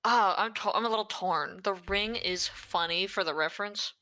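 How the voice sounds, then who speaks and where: Bright-voiced playful woman chatting. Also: medium pitch, moderate pace. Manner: playful, giggly. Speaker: player. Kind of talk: casual chat while making a game character.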